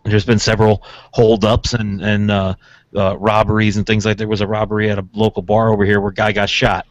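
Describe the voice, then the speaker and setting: A man's voice: fairly low pitch, flat delivery, brisk, dry recording. An American man hosting a radio show in casual chat, rambling informally through his stories. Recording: dull, lo-fi audio.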